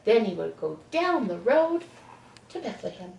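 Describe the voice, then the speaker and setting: A woman reading a children's bedtime story expressively, with dramatic pauses. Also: mid-range voice, big pitch swings, vocal fry, darkish tone. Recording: echoey room, distant mic.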